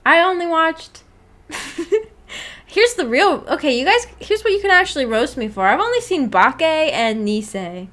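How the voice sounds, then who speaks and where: A woman's voice: high-pitched, very animated, measured pace, a bit bright. A woman streamer chatting casually with her viewers in a giggly, playfully teasing and slangy way. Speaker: streamer reading and answering viewer chat. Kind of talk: casual livestream chat.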